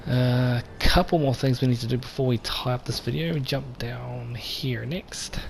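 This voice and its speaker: A man with a slightly croaky voice hosting a let's play, chatting casually and addressing viewers directly. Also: mid-range voice, normal speed. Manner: relaxed and chatty, talks straight to viewers. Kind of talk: casual gameplay commentary. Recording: voice over game sound noise.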